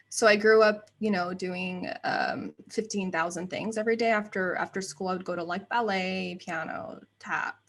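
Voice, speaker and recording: A woman in her thirties, raised in the United States, chatting as a guest on an interview show, laughing often and filling pauses with "um" and "like". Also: on the low side, steady tone. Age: 30s. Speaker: guest, actor and writer. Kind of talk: casual interview chat.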